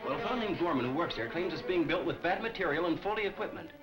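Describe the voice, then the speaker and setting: An actor playing an eager, slangy, breezy newspaper reporter in 1940s film serial style. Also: male voice, fairly high pitch, lively intonation, quick pace, over music, close to an everyday voice, rough recording.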